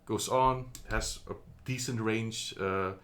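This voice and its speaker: A man with a somewhat low voice talks through a product review in an easy, unscripted way. He is from the Netherlands and fills pauses with ums, often correcting himself mid-sentence. The sound is a phone recording in an indoor room. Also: fairly even intonation, fairly bright tone, a little hiss or hum. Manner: chatty, hesitant, many ums and self-corrections. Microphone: phone camera.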